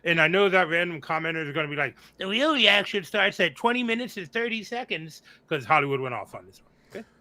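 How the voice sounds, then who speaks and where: A man's voice: sits high, lively intonation, fast, few pauses, bright, forceful tone, dry recording. A man co-hosting a reaction show in casual chat, speaking in emphatic, declarative statements. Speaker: co-host of a music reaction show.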